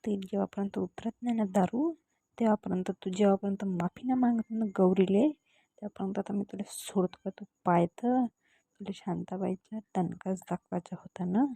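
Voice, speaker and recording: A woman's voice: mid-range voice, normal speed, creaky, warm tone, breathy edge, no room sound. A woman with a Varhadi Marathi accent voicing a bossy, stern village mother who scolds in an amateur cartoon comedy. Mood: threatening. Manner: scolding, repetitive commands.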